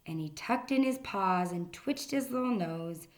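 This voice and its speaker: A woman reading a children's picture book aloud in a gentle storytelling manner, sometimes dropping to a whisper. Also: medium pitch, expressive, a little slow. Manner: gentle storytelling, drops to whispers for the quiet parts.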